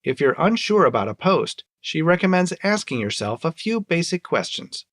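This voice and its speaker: A man's voice: fairly high pitch, big pitch swings, normal speed, fairly bright tone. A man delivering scripted narration as the host of a short radio segment.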